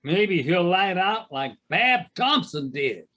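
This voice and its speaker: A man's voice: high-pitched, sing-song, slow pace, pushed and bright, heavily acted. A man playing a nervous, scheming townsman in a western audio drama, urging and wheedling in an American Western drawl. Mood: uneasy. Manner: agitated, emphatic frontier drawl.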